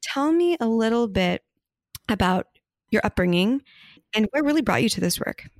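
A woman's voice: fairly high pitch, animated, moderate pace, dry recording, studio quality. A woman interviewing in a reflective, casual conversation, with an earnest, searching way of talking.

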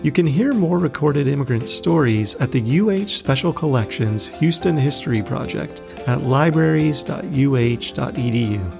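A man reading the scripted closing announcement of a podcast in a clear, deliberate way. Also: medium pitch, animated. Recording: voice over plucked guitar music.

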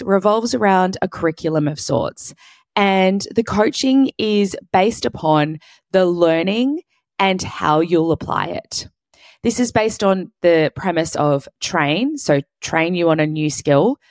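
A woman with a husky, hoarse voice, hosting a solo podcast and explaining business coaching step by step. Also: fairly low pitch, a little mellow, no room sound. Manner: explains step by step in clear lists. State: hoarse, sore throat.

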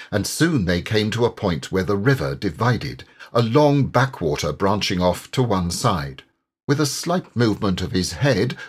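Slow, expressive low-voiced man narrating. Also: breathy edge. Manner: measured, expressive, dramatic. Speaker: audiobook narrator, voice actor. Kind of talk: scripted literary narration.

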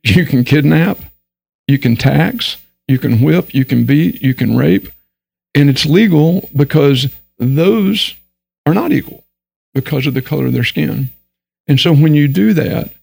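A man's voice: fairly high pitch, gravelly, a little mellow, breathy edge, dry recording. A man from Texas with a Texan accent, speaking as a guest on a discussion panel in an earnest, emphatic way, sometimes acting out the voices he quotes. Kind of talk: candid discussion panel talk.

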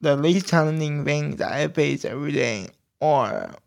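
A man hosting a podcast, giving a personal talk to listeners in halting, deliberate phrases that come in short bursts. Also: on the high side, vocal fry. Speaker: podcast host.

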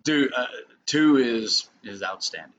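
Bright-voiced, teasing podcast host. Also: male voice, mid-range voice, animated, measured pace. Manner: profane, teasing, talks over others. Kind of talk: casual podcast banter. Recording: dull lo-fi podcast audio with faint noise.

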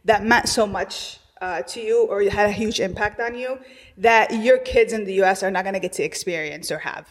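A woman's voice: mid-range voice, moderate pace. Chatty woman co-host in a reverberant room. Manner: chatty, rambling questions, frequent okays. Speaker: podcast co-host. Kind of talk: casual podcast interview. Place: friend's home.

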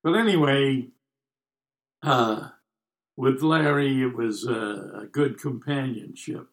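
A man's voice: mid-range voice, takes it slowly. An American man in his nineties telling stories casually, with a wry, joking, rambling manner. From United States. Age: 90s. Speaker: podcast guest telling life stories. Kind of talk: casual storytelling.

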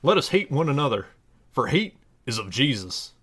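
Man doing a fervent Texan pastor, swooping pitch. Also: sing-song, normal speed, a bit bright, breathy edge. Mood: fervent, theatrical. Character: Texan pastor with Elvis-like drawl preaching parody scripture. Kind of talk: theatrical sermon parody.

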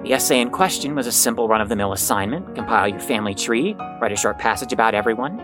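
An actor playing a pompous, proud young heir in an audio drama, reciting family history grandly with dramatic pauses. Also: medium pitch, close to an everyday voice. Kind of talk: theatrical scripted monologue, audio drama. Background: music.

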